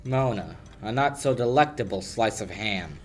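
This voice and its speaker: A man's voice: on the low side, lively intonation, normal speed, a little hiss or hum, close to an everyday voice. A young man playing a tough, wry lawyer in a hard-boiled 1940s radio play. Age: young adult. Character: tough wisecracking male lawyer, suspicious and dry.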